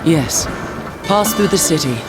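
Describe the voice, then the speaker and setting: A battle-weary female angel commander, speaks with stern, formal gravity and proud fatalism. The game dialogue sits over loud dramatic music with rumbling ambience. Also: animated, measured pace. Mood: stern, solemn. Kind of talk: dramatic video game acting.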